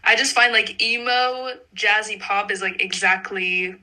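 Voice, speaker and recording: A woman's voice: medium pitch, unhurried, sharp tone, low-quality recording. A young woman, a singer being interviewed, chatting informally and peppering her talk with "like". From Montreal, Canada. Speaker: singer-songwriter interview guest. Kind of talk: casual interview chat.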